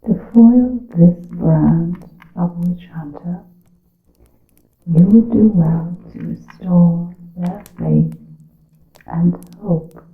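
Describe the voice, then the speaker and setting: A woman playing a secretive, solemn witch in an ASMR roleplay, whispering slowly in archaic formal words, with a creaky breathy voice. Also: fairly low pitch. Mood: conspiratorial, solemn. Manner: slow, deliberate, archaic formal wording. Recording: muffled narrow-band sound with room echo.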